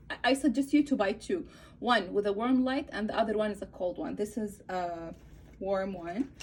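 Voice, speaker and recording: A woman in her thirties from Egypt, an Arabic speaker with an Egyptian accent, chatting through a craft tutorial at home and often pausing to search for words. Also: medium pitch, background noise. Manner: hesitant, searching for words with many fillers. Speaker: craft vlogger. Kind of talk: casual tutorial chat.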